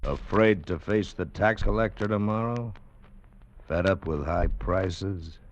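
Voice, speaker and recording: A man announcing an old radio adventure show in an ominous, deliberate dramatic style. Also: low-pitched, highs cut off. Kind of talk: dramatic 1940s radio narration.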